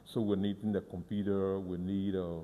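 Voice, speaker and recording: A man explains a museum in an earnest, halting way, often stumbling over and restarting his words. His voice is low-pitched and mellow. A long reverb from the museum hall trails behind him. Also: level tone. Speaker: museum guide. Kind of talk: guided tour interview.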